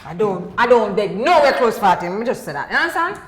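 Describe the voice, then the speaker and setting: A woman's voice: medium pitch, big pitch swings, fast. A woman with a Jamaican accent bantering playfully and emphatically in casual podcast chat. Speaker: podcast host and stage actress. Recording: roomy echo, faint music behind.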